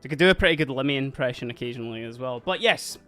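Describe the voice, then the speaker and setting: A man's voice: medium pitch, rapid-fire, fairly bright tone. A man with a Scottish accent, chatting casually and rambling on a livestream, laughing often while doing other things as he talks. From Scotland (Dundee). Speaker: streamer. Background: faint background music.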